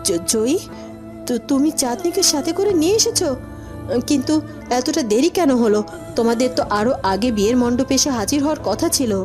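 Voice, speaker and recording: A woman's voice: sits high, lively intonation, brisk, music in the background, voice pushed down. A woman voicing an anxious, protective queen mother in a cartoon, scolding with agitated, repeated questions. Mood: startled, urging. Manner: agitated, repetitive, reproachful questioning. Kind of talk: melodramatic cartoon acting.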